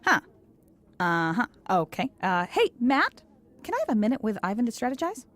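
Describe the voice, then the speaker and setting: Wry, high-voiced American career woman. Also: huge pitch swings, normal speed, a bit bright. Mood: annoyed. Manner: sardonic, candid. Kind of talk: audio drama acting.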